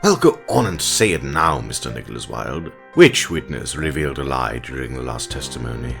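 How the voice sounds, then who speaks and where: A man playing a pompous, impatient, scornful rat prosecutor in an audio drama, with a gravelly, theatrical delivery. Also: rumbling low, very animated, normal speed, fairly bright tone, faint music behind, full character voice. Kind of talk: audio drama character acting.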